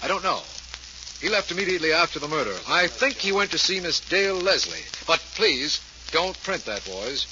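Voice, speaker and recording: An actor playing an earnest, authoritative district attorney, formal and clipped, in 1940s radio drama style. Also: male voice, a little high, animated, moderate pace. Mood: official. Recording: noisy, muffled 1940s radio recording.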